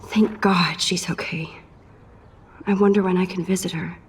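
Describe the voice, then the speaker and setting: A young woman with an American accent playing an introspective, self-doubting teenage girl in a video game, soft-spoken, understated and casual. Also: on the low side, fairly even intonation, normal speed, slight background noise, close to an everyday voice. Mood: worried, relieved. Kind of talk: video game acting, quiet inner monologue and dialogue. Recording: music under the voice. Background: background music.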